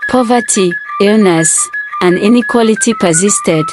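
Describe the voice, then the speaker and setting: A woman's voice: medium pitch, unhurried. Woman narrating a folktale over music. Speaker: storyteller, narrator. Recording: music playing behind the voice. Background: background music.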